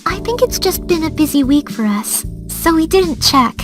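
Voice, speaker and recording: A woman playing a polite, soft-mannered girl mech pilot in an anime-style game, a little hesitant. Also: very high voice, expressive, moderate pace. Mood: polite, mild. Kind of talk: anime-style visual novel voice acting. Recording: music behind the voice.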